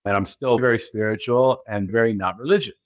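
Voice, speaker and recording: A man's voice: fairly low pitch, fairly quick, no echo. A man from California with an American accent, a spiritual guide being interviewed, who talks emphatically and keeps asking rhetorical questions. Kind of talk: interview talk.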